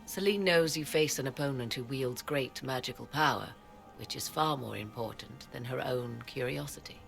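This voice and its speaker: An actress playing a haughty, cultured sorceress at an imperial court in a video game, speaking in formal, archaic, aloof phrases. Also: female voice, rumbling low, moderate pace, gravelly, soft background music. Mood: aloof, measured. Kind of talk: theatrical video game acting.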